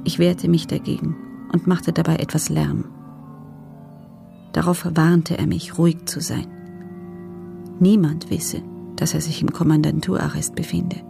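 An actress playing a guarded female prisoner in a documentary radio play, recounting her testimony in a restrained, measured, sober way. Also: low voice, level tone, vocal fry, music in the background, heavily acted.

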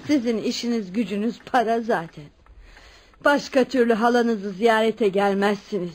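An actress playing a stern, well-off older aunt in a radio play, reproachful and resigned. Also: female voice, on the high side, normal speed, lightly acted, poor audio. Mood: scolding. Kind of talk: Turkish radio theatre acting.

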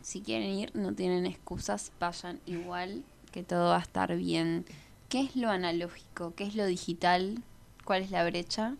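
A woman's voice: lowish voice, fairly even intonation, moderate pace, vocal fry. A woman with a Rioplatense Argentine accent, hosting a radio show in a casual, conversational style. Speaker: radio host.

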